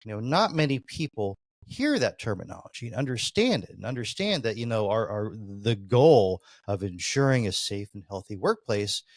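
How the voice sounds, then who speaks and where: A man's voice: on the low side, very animated, moderate pace, hardly any pauses, no echo, clean studio sound. A man hosting a podcast interview, asking long, rambling questions full of "you know" fillers. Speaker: podcast host.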